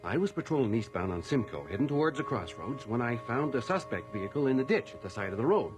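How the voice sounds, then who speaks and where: A man's voice: medium pitch, big pitch swings, quick pace, over music, close to an everyday voice. A man acting in a radio drama as an earnest, deferential police constable with an official manner. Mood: earnest, explaining.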